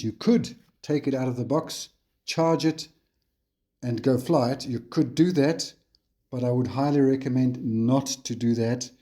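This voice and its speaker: A man with a South African accent hosting a hobby channel, walking viewers through a kit in a relaxed tutorial style with frequent ums and uhs. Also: mid-range voice. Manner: relaxed, unhurried, frequent fillers. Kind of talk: casual tutorial walkthrough on camera.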